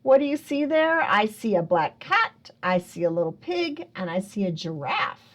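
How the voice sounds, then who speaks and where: A woman's voice: medium pitch, sing-song, moderate pace. A woman hosting a picture book storytime for young children, playfully asking questions and exclaiming with wonder. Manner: playful, prompting listeners with questions. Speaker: children's librarian and storytime host. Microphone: speaking close to a camera.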